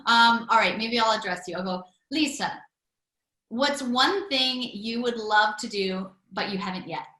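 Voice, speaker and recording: A woman hosting an interview show, enthusiastic, laughing often and reacting with quick affirmations. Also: a little high, bright, forceful tone, echoey room. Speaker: podcast host. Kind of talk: upbeat interview with quick-fire questions.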